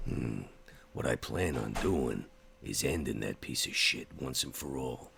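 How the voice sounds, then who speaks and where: A man voicing a gruff, vengeful vampire in a tabletop roleplay game, with a folksy, menacing drawl and a gravelly voice. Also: extremely low pitch, lively intonation, measured pace, a bit bright, slight background noise, heavily acted. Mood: grim. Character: gruff vengeful male vampire named Max.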